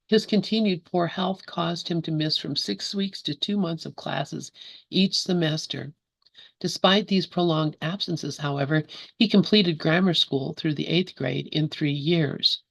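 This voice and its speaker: A woman's voice: low voice, no echo. Woman reading aloud, dry close sound. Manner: laughs easily, warm asides between reading. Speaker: study group leader. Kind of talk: reading a book aloud and chatting.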